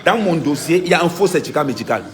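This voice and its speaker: Activist vlogger, man, pitched a bit high, emphatic and repetitive delivery. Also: expressive, rapid-fire. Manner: insistent, emphatic, repeats key points. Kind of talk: impassioned first-person account.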